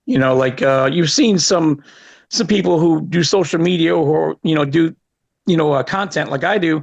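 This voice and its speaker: A man with a Chicago accent, a podcast guest, talking casually with halting restarts and fillers. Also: fairly high pitch, creaky. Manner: halting, hesitant, many fillers. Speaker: guest, content creator. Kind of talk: casual panel chat. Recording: dull lo-fi sound.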